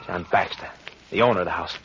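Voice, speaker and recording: A man's voice: sits low, quick pace, fairly bright tone. An actor playing a ruthless young male escaped officer who talks in a cold, commanding, threatening way, in 1940s radio acting style. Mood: menacing. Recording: dull, faintly hissy 1940s radio.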